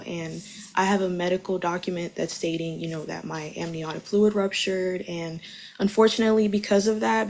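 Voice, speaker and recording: A woman's voice: fairly low pitch, a little mellow, rough recording. A young woman giving testimony as a witness in a courtroom, speaking in casual, informal wording.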